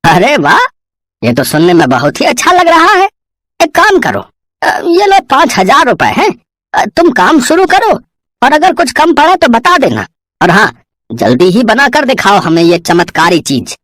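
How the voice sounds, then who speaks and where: Woman doing a cheery older village chief for a kids story, high and super swingy, chatty, fatherly, dry sound. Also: big pitch swings, moderate pace, fairly bright tone, no room sound, heavily acted. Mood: pleased, encouraging. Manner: chatty, folksy, with frequent exclamations and fatherly endearments. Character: kindly older male village headman, cheerful and encouraging. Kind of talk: dramatised Hindi moral storytelling.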